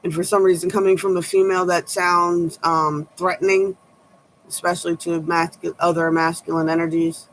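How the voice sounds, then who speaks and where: A woman tarot reader with a low voice, giving blunt, sharp-tongued advice in a casual chat to her viewers. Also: little pitch movement, moderate pace, slight background noise, poor audio.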